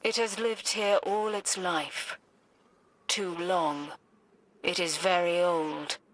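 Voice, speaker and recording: A woman's voice: lowish voice, fairly even intonation, slow pace, no echo. An actress voicing an enigmatic, solemn female oracle in a video game, cryptic and portentous. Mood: grave, haunting. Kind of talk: video game cutscene voice acting.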